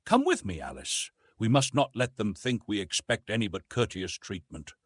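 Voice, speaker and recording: A man voicing a calm, brave, wry English gentleman in an audiobook adventure story. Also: fairly low pitch, normal speed, slightly rough, dry recording, clean studio sound. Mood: bravely calm.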